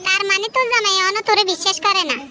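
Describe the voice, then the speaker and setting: A woman's voice: very high-pitched, very fast, background noise. A woman voicing a boastful, sly cartoon sister-in-law with a Noakhali Bangladeshi accent, gossiping and goading. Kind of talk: comedy cartoon dialogue.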